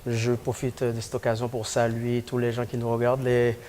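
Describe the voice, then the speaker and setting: Young guy from haiti being interviewed, earnest, lots of uhs and pauses. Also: medium pitch, monotone, warm tone. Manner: earnest, halting, many hesitations. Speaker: guest, community organizer. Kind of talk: studio interview.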